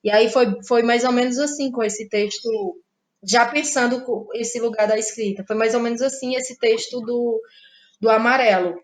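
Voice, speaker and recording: A woman from Ceará, Brazil, a playwright and actress, talking through her creative process in a relaxed interview, thinking aloud with frequent restarts. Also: fairly high pitch. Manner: thoughtful, halting, many restarts and fillers. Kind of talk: casual interview conversation.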